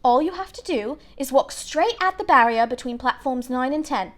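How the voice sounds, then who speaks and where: A woman voicing a plump, kindly mother in a storybook, brisk and motherly as she gives instructions. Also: high-pitched, expressive, a bit bright. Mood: kindly, reassuring.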